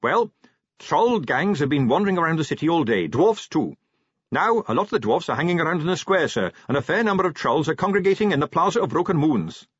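A man's voice: a little high, sing-song, brisk, slightly rough, no room sound, pitched above the performer's own voice. Guy doing a keen polite young captain, eager, says sir a lot. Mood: earnest. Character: earnest young male watch captain, dutiful. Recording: dry, slightly dull close-mic.

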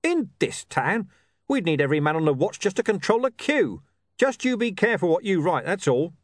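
A man's voice: high voice, sing-song, a little fast, dry recording, clean studio sound, voice pushed up. A man voicing a curt, blunt, irritable and suspicious police commander in an audiobook story. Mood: gruff, irritable.

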